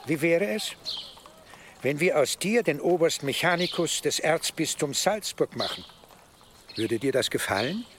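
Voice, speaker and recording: A man playing a shrewd, authoritative archbishop who speaks in a measured way in a radio drama. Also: fairly high pitch, expressive, fairly bright tone, a little hiss or hum. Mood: commanding, curious. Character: lordly, shrewd male archbishop granting favours. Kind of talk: German radio drama acting.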